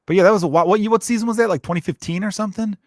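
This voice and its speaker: Friendly mid-pitched man, dry close sound. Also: racing, dry recording. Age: 30s. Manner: friendly, encouraging, talks in loose run-on sentences. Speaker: podcast host, comedian. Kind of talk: casual chat.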